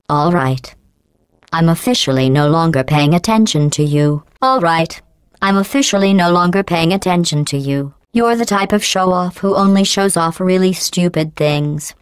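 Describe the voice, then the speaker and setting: A woman voicing a cold, sarcastic female AI in a game, with a robotic processed sound and a deadpan, mocking, passive-aggressive delivery. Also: low voice, clean tone, no room sound. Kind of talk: video game voice acting.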